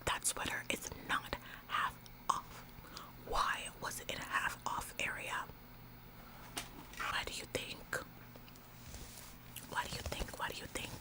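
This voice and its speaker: A woman's voice: slow pace, long pauses, lightly acted, low-quality recording. A woman in a whispered ASMR roleplay, playing a bossy, officious store employee who repeats phrases for emphasis. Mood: conspiratorial. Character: bossy, overzealous female retail store employee, falsely apologetic. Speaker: ASMR performer.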